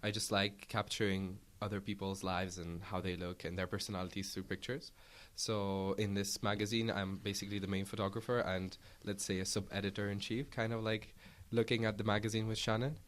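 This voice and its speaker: A young man answers as a student guest in an interview. His voice is on the low side, and he talks in a casual, conversational way. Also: flat delivery, brisk, few pauses. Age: young adult.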